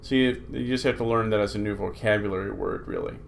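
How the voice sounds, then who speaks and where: A man teaching a recorded New Testament Greek lesson, talking through slides in an unhurried way with many fillers. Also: lowish voice, vocal fry, slight background noise.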